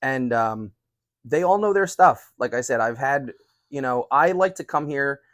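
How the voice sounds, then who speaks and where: A man's voice: mid-range voice, lively intonation, measured pace, fairly bright tone, no room sound. Man in his forties, casual and close-sounding. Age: 40s. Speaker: cigar reviewer and video host. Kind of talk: casual chat.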